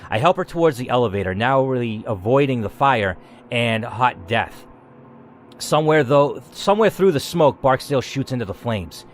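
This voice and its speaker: A man's voice: medium pitch, lively intonation, normal speed, a little hiss or hum. A man narrating a story game dramatically and reacting to its choices with emphatic commentary, as a gaming host.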